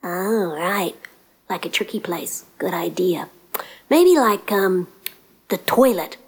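A woman voicing a young prince who talks fast and nervously in casual slang. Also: high voice, animated, gravelly, breathy edge, full character voice. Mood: wheedling.

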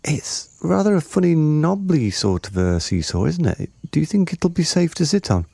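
A man voicing a curious, slightly doubtful girl in a storybook, with a drawn-out questioning manner. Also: on the high side, big pitch swings, gravelly, warm tone, breathy edge, dry recording, clean studio sound, voice pushed up, heavily acted.

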